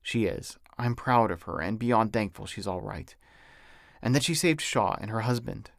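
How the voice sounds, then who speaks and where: A man's voice: fairly low pitch, moderate pace, creaky, no room sound, studio quality. A man reading an audiobook as an anxious, apologetic husband who speaks in a hesitant, submissive way. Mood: apologetic, somber.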